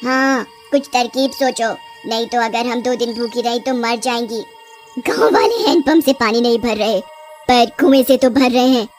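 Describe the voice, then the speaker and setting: A woman voicing a gloating, scheming two-headed witch in an animated horror folk story, with drawn-out, menacing delivery. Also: on the high side, faint music behind, voice pushed down, lightly acted.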